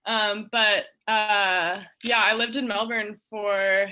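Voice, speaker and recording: A woman's voice: medium pitch, fairly even intonation, slow pace, bright, forceful tone, a little hiss or hum. A young American woman from Southern California, a college golfer chatting in an interview with plenty of "um" and "kind of". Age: young adult. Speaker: university golfer, guest. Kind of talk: casual interview answers. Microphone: video call.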